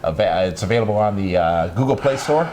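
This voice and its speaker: A man's voice: lively intonation, fast talker, background noise. Teasing male wrestling talk host. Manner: teasing, roasts his co-host, pitches the show to viewers. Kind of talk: broadcast wrestling talk, news and banter.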